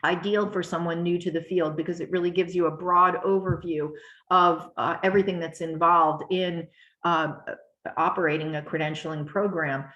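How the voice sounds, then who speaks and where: Hoarse woman presenting, roomy echo. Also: lowish voice, fairly even intonation, fairly bright tone, dull audio. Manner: measured, with many fillers and throat clearing. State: hoarse with a cough. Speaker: webinar presenter. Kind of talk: explanatory webinar talk.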